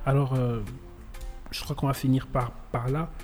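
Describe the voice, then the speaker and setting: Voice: mid-range voice, fairly even intonation, normal speed, dark tone, soft background music. A radio host leading an interview with short questions and prompts.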